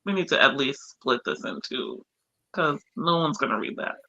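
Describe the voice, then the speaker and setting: Bright-voiced woman, low and dry. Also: sing-song, moderate pace, breathy edge, dry recording, highs cut off. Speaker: book club panelist. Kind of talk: casual group book discussion.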